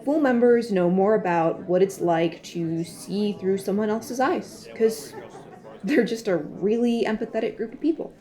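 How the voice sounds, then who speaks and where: A woman's voice: medium pitch, lively intonation, measured pace, mellow, close to an everyday voice. A woman playing a gushing, evasive recruiter for a secretive group in an audio drama, earnest and coy.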